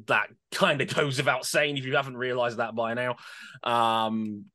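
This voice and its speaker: A man's voice: medium pitch, level tone, moderate pace, pushed and bright. A man hosting a podcast, talking casually in a wry, self-deprecating way and often repeating words as he starts. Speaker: podcast co-host. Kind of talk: casual chat.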